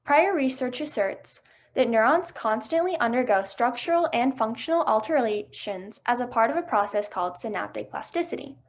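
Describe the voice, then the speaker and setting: Young woman, from Kentucky, student presenter, careful reading, higher pitch. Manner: steady, careful scientific reading. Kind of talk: read research presentation.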